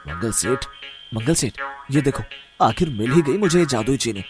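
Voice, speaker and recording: A man acts out a scheming sweet-shop rival from an animated folk tale. He mutters in a conspiratorial, envious way, lower and quieter, over soft music. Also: normal speed, lightly acted. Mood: sneaky. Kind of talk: Hindi animated moral story voice acting.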